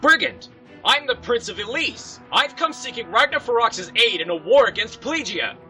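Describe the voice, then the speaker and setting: Man acting a forceful, commanding young prince. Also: extremely high pitch, lively intonation, moderate pace, soft background music, exaggerated voice. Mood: proud, declaring. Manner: formal, firm, commanding. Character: proud, exasperated young male prince and commander. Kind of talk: fan audio drama acting.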